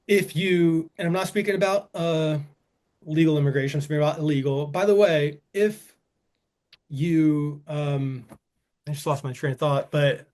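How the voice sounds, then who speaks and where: Man, fairly high voice, podcast co-host, halting, restarts sentences, casual political talk. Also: fairly even intonation, darkish tone. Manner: halting, restarts sentences, loses his train of thought.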